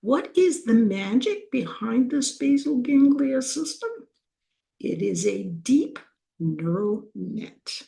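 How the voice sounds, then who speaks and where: A woman's voice: a little high, vocal fry, dark tone, poor audio. An older woman giving a conversational university lecture with slides, sometimes restarting and drawing out key words for emphasis. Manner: conversational, self-correcting, slows down to stress key terms. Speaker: professor giving a talk.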